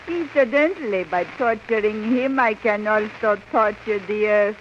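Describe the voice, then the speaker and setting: An actress playing a haughty, cruel young princess in a 1930s radio serial. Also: female voice, medium pitch, natural voice. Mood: vengeful relish. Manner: haughty, deliberate. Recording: muffled, noisy old radio recording.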